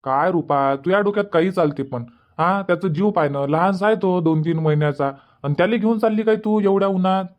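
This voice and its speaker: A man's voice: high voice, fast talker, natural voice. A man voicing a worried, reluctant village father in a cartoon comedy, questioning and protesting with a Vidarbha Marathi accent in a dull recording. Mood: disbelieving.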